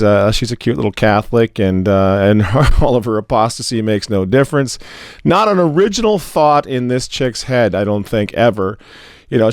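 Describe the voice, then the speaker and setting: A man, hoarse and raspy from a cold, giving sarcastic commentary on religion and politics as an editor. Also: mid-range voice, no room sound, clean studio sound. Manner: sarcastic, mocking asides. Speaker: newspaper editor hosting commentary.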